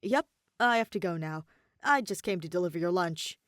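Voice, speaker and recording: A woman's voice: lowish voice, expressive, normal speed, dry recording, clean studio sound, lightly acted. A woman voicing a cheerful, eager young male adventurer in a story reading.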